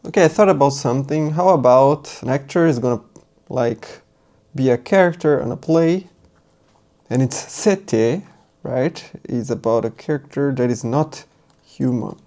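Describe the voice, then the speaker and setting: A man's voice: mid-range voice, a little slow, warm tone. A man whose first language is Portuguese, giving a casual tutorial and often checking in with "right?". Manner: thinking aloud, muttering while writing; loose and chatty, asks "right?". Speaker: language teacher.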